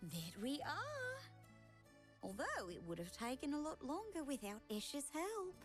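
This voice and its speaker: Refined young organiser in a game, slightly high, expressive, formal and gently strict. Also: female voice, measured pace, faint music behind, full character voice. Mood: pleased.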